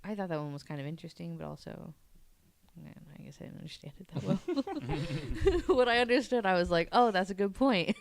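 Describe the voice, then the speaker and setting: Low-voiced woman, casual and creaky. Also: very animated, brisk. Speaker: podcast guest panelist. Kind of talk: casual podcast talk, presenting and paraphrasing an article.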